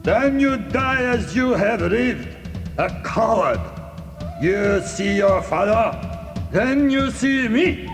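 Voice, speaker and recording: A man's voice: high voice, expressive. A man playing a bitter, menacing older karate rival in a dramatised read-along story, drawing out his threats. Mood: angry, menacing. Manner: menacing, drawn-out declamation. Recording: long reverb, loud music bed.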